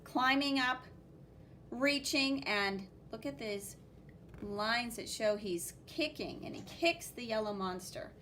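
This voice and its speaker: A woman reading a picture book aloud to children as a teacher, expressive and exclaiming, with spoken sound effects and commentary. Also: fairly high pitch, takes it slowly, slight background noise.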